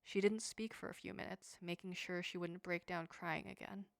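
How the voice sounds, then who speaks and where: A woman reading a short story aloud in a quiet, steady, restrained way. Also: lowish voice, normal speed, creaky, dry recording, studio quality. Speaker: story narrator. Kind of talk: scripted narration of a short story.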